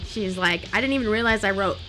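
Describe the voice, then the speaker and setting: Young woman guest from New Orleans: bright, animated, swears freely, faint music behind. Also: medium pitch, quick pace, low-quality recording. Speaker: podcast guest, singer-songwriter. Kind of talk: casual chat.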